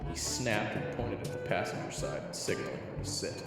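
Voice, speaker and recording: A man's voice: lowish voice, lively intonation, normal speed, heavy reverb, in a large hall, over loud music. A man reading scripted story narration as the narrator of an audio roleplay.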